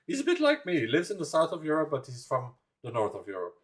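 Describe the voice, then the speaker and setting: A man's voice: a little high, huge pitch swings, quick pace. A young Dutch man from the Netherlands hosting a livestream, chatting casually, rambling and laughing as he reads viewer comments. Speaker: livestream reaction host.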